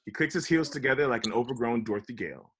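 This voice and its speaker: A man's voice: medium pitch, fast, noisy background. A man narrating the script at a casual table read and chatting with the group. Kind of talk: reading screen directions, then casual chat.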